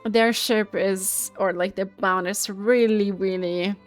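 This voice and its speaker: A woman's voice: mid-range voice, fairly even intonation, slow pace, music in the background. A woman giving casual gameplay commentary, reading game text aloud and cheering her pet with giggles. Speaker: gaming video creator.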